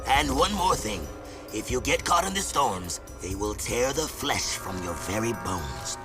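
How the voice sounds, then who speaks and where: A man voicing a stern desert ruler in a video game, speaking with measured, grave authority. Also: lowish voice, very animated. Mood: commanding, grave. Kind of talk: animated video game voice acting. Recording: music behind the voice.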